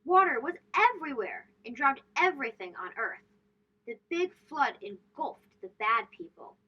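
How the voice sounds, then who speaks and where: High, very lively woman reading to children. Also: moderate pace, breathy edge, lo-fi sound. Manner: addresses young listeners with questions, emphatic storytelling delivery. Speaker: host of a children's read-aloud show. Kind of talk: children's storybook read-aloud.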